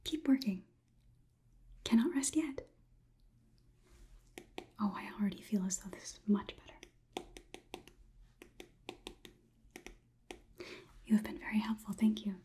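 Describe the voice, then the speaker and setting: A young woman playing a polite, condescending AI robot overlord in a slow, breathy ASMR whisper. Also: mid-range voice, animated, warm tone, lightly acted. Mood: patronizing, pleased. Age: young adult. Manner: slow, soothing, clinical, patronizing. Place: small indoor room.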